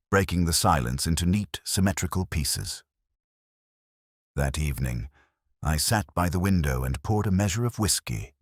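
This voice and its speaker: A man plays a weary, melancholy detective looking back on a case in an audio drama. His voice is exceptionally deep, with wide, expressive swings of intonation. The telling is brooding and literary, recorded close and dry. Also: dry recording, exaggerated voice. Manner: brooding, measured, literary phrasing. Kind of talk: first-person literary mystery narration, audio drama.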